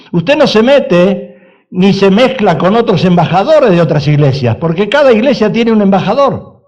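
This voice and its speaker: A male pastor with a notably high voice delivers an insistent sermon. He hammers his points with repetition and asks questions of his listeners. The recording sounds dull and lo-fi. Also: darkish tone. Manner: emphatic preaching with repetition and rhetorical questions.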